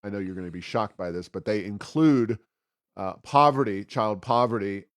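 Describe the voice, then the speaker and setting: A man co-hosting a talk show, giving conversational political commentary with wry, sarcastic asides and a halting, self-correcting delivery. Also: on the low side, no room sound, studio quality. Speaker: co-host of a policy podcast.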